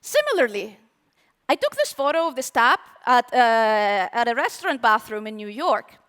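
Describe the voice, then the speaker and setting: High-voiced, animated woman on a conference stage. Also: normal speed, clear, resonant tone, big-room sound. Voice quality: very high-pitched voice. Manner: animated, playful, asks the audience questions. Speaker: conference speaker. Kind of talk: live tech conference talk. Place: conference hall with live audience.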